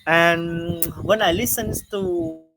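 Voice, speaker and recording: A male lecturer with a Khmer accent talks slowly and unhurriedly, pausing often and filling gaps with "uh" and "okay." His voice sits slightly above the usual male pitch, and he explains things step by step in an online class. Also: fairly even intonation, pushed and bright. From Cambodia. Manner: slow, halting, many "uh" and "okay" fillers.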